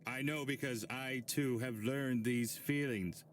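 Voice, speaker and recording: An actor playing a gentle, solemn android who pleads earnestly and softly in a dramatic anime dub. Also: male voice, medium pitch, fairly even intonation, slow pace, a little hiss or hum, natural voice. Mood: solemn pleading. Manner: soft-spoken, earnest pleading. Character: gentle, solemn male android, a disembodied head.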